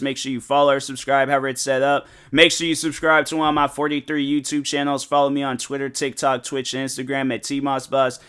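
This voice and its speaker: A man's voice: medium pitch, pushed and bright, clear, resonant tone. A man in his twenties hosting a solo podcast, giving a rambling motivational talk full of filler words. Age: 20s. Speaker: podcast host. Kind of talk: casual solo podcast monologue.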